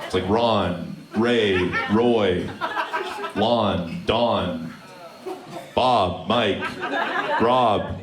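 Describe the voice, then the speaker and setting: A deep-voiced young man from Alberta doing live stand-up, wry and self-mocking with deadpan asides. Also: very slow, long pauses. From Alberta, Canada. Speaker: stand-up comedian. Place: comedy club stage before a live audience. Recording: roomy live club with loud crowd laughter.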